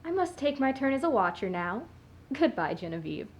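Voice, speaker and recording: Woman playing a poised, high-voiced suffragist aunt. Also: big pitch swings, normal speed. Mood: earnest, composed. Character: poised, persuasive suffragist aunt, society lady. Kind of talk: stage-style radio play acting. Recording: faint background noise.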